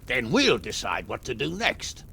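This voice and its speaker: Raspy, gravelly cautious lord. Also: male voice, medium pitch, wildly swooping pitch, measured pace, sharp tone, exaggerated voice. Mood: businesslike. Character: cautious, practical lord of medieval Bohemia. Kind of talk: dramatic game cutscene acting.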